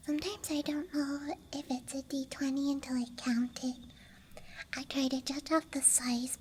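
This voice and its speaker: A young woman doing unscripted ASMR in a soft, breathy whisper, with a gentle, playful, unhurried manner. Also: sits high, a little hiss or hum. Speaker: ASMR streamer. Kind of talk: ASMR, unscripted show-and-tell. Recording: faint steady outdoor night ambience. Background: night campground ambience track.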